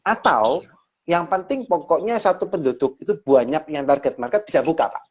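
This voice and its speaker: A man's voice: on the high side, lively intonation, moderate pace. A man hosting an interview, who politely sums up the answers and keeps addressing his guest as "Pak.". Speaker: host, interviewer. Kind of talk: casual interview chat. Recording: lo-fi live stream audio.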